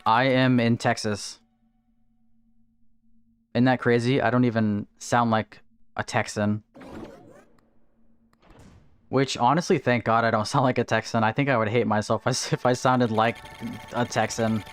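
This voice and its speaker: A man streaming a game live from home, chatting casually, answering viewers and thinking out loud about his strategy. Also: mid-range voice, fairly even intonation, measured pace, pauses often, faint music behind. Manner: chatty, answers viewer chat while playing, thinks aloud. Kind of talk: casual livestream gameplay chat. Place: home, in Texas.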